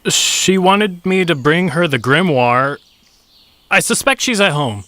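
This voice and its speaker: A man playing an excitable, petulant young magical creature in a comic stage-style audio drama. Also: a little high, unhurried, dry recording. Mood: flustered. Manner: excitable, complaining.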